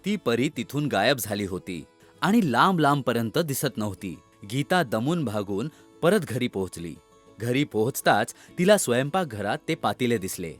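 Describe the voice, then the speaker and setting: A man narrating a scripted children's story as an expressive storyteller. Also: mid-range voice, normal speed, soft background music.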